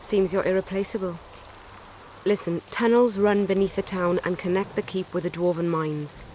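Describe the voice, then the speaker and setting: A woman's voice: lowish voice, steady tone, normal speed, darkish tone, a little hiss or hum, lightly acted. A woman playing a composed, decisive young rebel commander in a fantasy video game, speaking firmly. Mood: grave, decisive. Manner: composed, firm, businesslike.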